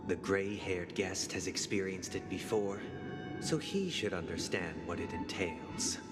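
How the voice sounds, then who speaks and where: A low-voiced, solemn visionary villain. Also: male voice. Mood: calm, persuasive. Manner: measured, formal, solemn oratory. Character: calm zealous male visionary leader, courteous villain. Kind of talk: scripted game voice acting. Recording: loud music behind the voice.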